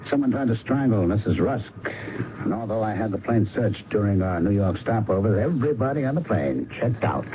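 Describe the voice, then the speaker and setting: A man's voice: on the low side, lively intonation, brisk, close to an everyday voice. An actor playing a weary, probing American police officer who narrates his case in an old-time radio drama. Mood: tired, curt. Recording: noisy, muffled vintage radio recording.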